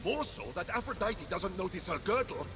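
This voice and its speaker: A man's voice: high-pitched, lively intonation, normal speed, noisy background. A man playing a vain, blustering, touchy war god in a video game, theatrical and easily flustered. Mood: sheepish, confiding. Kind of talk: video game cutscene voice acting. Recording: music behind the voice.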